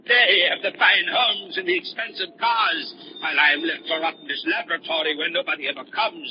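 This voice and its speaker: An actor playing an embittered, paranoid older scientist who feigns kindness, in theatrical 1930s radio melodrama style. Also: male voice, a little high, fairly quick, close to an everyday voice. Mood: bitter ranting. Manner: theatrical, volatile, swinging from soothing to ranting. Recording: muffled, lightly noisy 1930s radio recording.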